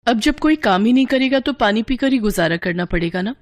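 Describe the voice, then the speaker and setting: Casting: woman playing young village daughter-in-law, sensible, courteous yet firm, for an animated folk tale. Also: on the high side, a little fast, voice pushed up, natural voice. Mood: firm, polite. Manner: polite but firm.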